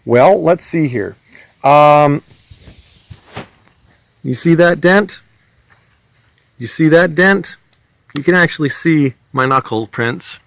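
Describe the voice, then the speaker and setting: Middle-aged man, high voice, angry, emphatic, heavy swearing. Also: slow, creaky, long pauses, a bit bright. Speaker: van-dwelling vlogger. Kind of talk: agitated venting to a police officer. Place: outdoors at a rural property.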